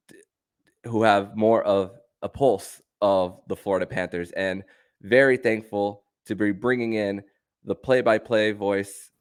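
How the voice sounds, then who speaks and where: A man hosting a sports podcast, who tends to speak haltingly and repeat words as he frames his questions. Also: on the low side, smooth. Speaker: podcast host.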